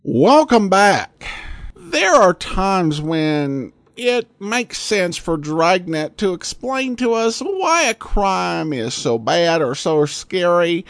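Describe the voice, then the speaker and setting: A male podcast host giving conversational commentary in a high voice. He takes his time, and his pitch rises and falls widely. The sound is dry and close. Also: dry recording.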